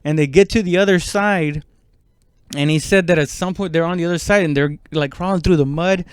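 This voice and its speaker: A man talking in a casual, rambling podcast monologue, halting and conversational, with frequent swearing. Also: fairly high pitch, smooth, dry recording, studio quality. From immigrant, moved abroad at seven. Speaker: stand-up comedian podcast host.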